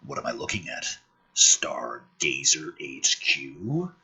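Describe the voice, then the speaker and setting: A man voicing a gruff, baffled soldier hero in a mocking script read, terse and muttered. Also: a bass voice, huge pitch swings, slow, sharp tone, pitched below the performer's own voice, heavily acted. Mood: wary, muted.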